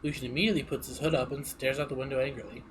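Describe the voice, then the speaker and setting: A man's voice: mid-range voice, fairly even intonation, racing, slight background noise, rough recording. A stuffy-nosed man streaming a story game, reading it aloud and chatting with a laugh.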